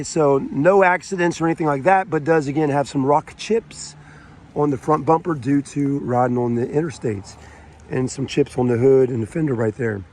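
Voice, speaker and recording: A man with a sore throat and a raspy voice, giving a casual, rambling walkaround tour of a car for sale on a dealership lot. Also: on the high side, a little mellow. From South Carolina. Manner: rambling and chatty, many fillers and asides.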